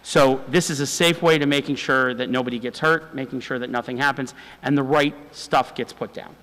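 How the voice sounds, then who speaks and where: A man with a loud voice, a city department official, explaining a proposal to the council in a repetitive, explanatory way. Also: mid-range voice, steady tone, a little fast, in a large hall, rough recording. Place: council chamber.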